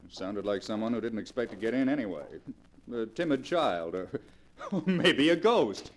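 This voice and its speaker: Actor as a lively, conniving nephew. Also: male voice, medium pitch, normal speed, natural voice. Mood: affable. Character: smooth-talking scheming nephew, jovial and conniving. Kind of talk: 1940s radio acting. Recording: noisy vintage 1940s radio recording.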